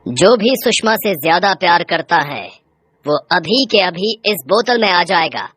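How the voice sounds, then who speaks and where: A woman's voice: fairly low pitch, animated, unhurried, a bit bright, husky, no echo, heavily acted. A woman voicing a shrewd, commanding male village sorcerer in a horror tale, with declamatory delivery. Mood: knowing.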